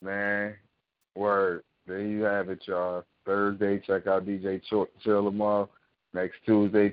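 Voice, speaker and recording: Chill radio host guy, lowish voice, dry muffled audio. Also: dry recording. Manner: laid-back, conversational, slangy. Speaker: radio talk show host. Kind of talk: online radio talk show.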